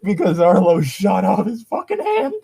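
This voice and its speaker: Husky guy goofing around on a podcast, swears a lot, rambly and giggly, voice goes all over the place. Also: high-pitched, wildly swooping pitch, normal speed, mellow. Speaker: co-host of a podcast. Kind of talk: casual banter, riffing and joking.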